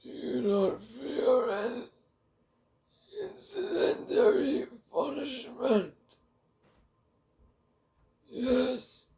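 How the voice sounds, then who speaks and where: Storybook role: frail elderly holy hermit, thin quavering falsetto, very slow and halting. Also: male voice, creaky, a little mellow, reverberant, heavily acted. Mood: wondering, wary. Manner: slow, drawn-out, halting delivery. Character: frail old hermit holy man of the forest. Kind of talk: dramatic philosophical storybook reading.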